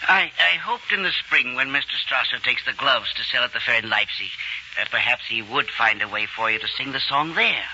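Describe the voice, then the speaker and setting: A man's voice: mid-range voice, expressive, fairly quick, bright, forceful tone, slightly rough, exaggerated voice. An actor playing an earnest, kindly village man with a solemn, reverent manner in an old radio drama. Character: earnest, gentle village man entrusting a song to children. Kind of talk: 1940s radio drama acting. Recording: muffled old radio broadcast, music behind.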